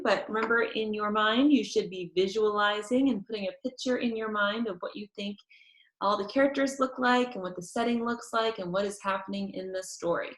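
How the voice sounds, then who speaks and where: A woman's voice: fairly high pitch, a bit bright. A woman speaking simply and instructively to young listeners, like a teacher introducing a children's read-aloud. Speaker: teacher reading aloud to pupils. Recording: roomy echo.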